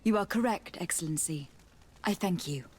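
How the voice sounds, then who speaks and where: An actress playing a composed young noblewoman diplomat in a video game, speaking in a measured, formal and polite way. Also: lowish voice, animated, husky. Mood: composed, inquiring. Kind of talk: video game dialogue acting.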